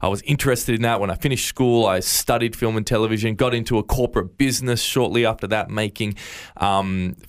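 A man's voice: fairly low pitch, a little fast, clear, resonant tone. A man with an Australian accent, a guest in an interview, earnestly recounting his faith story with frequent stammered restarts. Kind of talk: interview, personal testimony.

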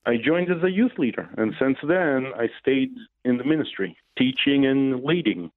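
An Israeli man in his forties, heard over a thin, tinny phone line with a dry, close sound. He speaks at a middling pitch, answering questions slowly and hesitantly, pausing often to search for words. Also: animated, no room sound. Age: 40s. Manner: hesitant, many pauses and "uh" fillers. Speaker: radio interview guest, ministry leader. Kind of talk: casual radio interview answers.

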